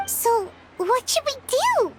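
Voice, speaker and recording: A woman voicing a tiny, excitable childlike companion in a video game, with a squeaky voice and an earnest manner. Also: slow pace, noisy background, full character voice. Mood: puzzled. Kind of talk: scripted video game voice acting.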